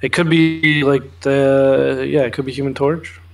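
Flat-toned young man chatting casually. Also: medium pitch, unhurried, gravelly. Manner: casual, swears freely. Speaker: co-host of a pop culture news show. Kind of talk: casual chat, explaining film trailers and comics.

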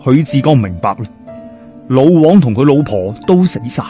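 A man's voice: mid-range voice, animated, normal speed, dark tone, soft background music. A man with a Cantonese accent narrating a scripted horror story in a dramatic, suspenseful storyteller's manner. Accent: Hong Kong or Guangdong Cantonese.

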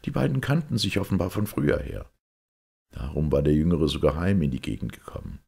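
A man reading a detective story aloud as an audiobook narrator, expressive and measured, with a gravelly, husky voice. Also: very deep voice. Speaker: audiobook reader.

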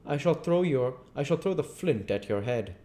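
A raised, animated voice for an exasperated invisible man in a classic storybook, read by a man. He is commanding and impatient, stressing every point. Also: mid-range voice, normal speed, voice pushed up, lightly acted. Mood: threatening, insistent. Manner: emphatic, insistent explaining.

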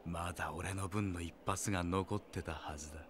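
An actor playing a tough, cool, vengeful young fighter in a Japanese audio drama, terse, taunting and quietly menacing. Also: male voice, low-pitched, fairly even intonation, normal speed, slight background noise, close to an everyday voice. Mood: cold, menacing.

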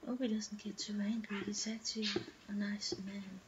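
A young woman murmuring to herself slowly in an in-character roleplay vlog, recorded on a webcam in a small room. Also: mid-range voice, level tone. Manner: slow, murmuring self-talk with frequent laughs. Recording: dull lo-fi webcam audio with loud noise.

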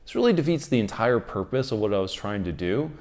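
A man's voice: fairly low pitch, sing-song, fast talker, a little mellow. A man presents an explanatory tech video to camera. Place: quiet living room, indoors. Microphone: lapel microphone.

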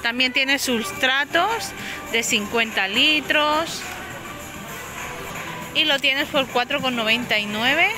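A woman's voice: high-pitched, slow pace, long pauses, rough recording. A woman filming on her phone in a supermarket, chatting casually as she lists prices and sizes and corrects herself. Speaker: shopping vlogger. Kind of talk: casual store walkthrough narration. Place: inside a large discount supermarket. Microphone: handheld phone camera. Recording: music playing under the voice. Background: background music.

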